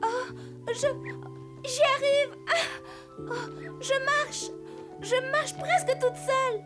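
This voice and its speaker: A woman voicing a frail, gentle, timid young girl in a French cartoon dub, speaking hesitantly. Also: very high voice, steady tone, slow pace. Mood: amazed joy. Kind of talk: French animated film dub. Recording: music behind the voice.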